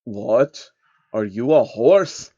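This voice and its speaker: A man voicing a sly, elderly male billionaire manipulator in a slow, measured way for a fan story. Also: mid-range voice, lively intonation, dark tone, dry recording, dull audio. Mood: curious, calculating. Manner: slow, measured, drawn-out delivery.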